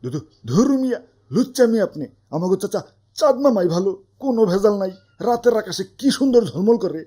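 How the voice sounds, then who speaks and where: A man's voice: sits high, animated, fast, dark tone. A man with a Bangladeshi accent playing a cheeky, wisecracking sidekick in comic cartoon dialogue. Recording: music behind the voice.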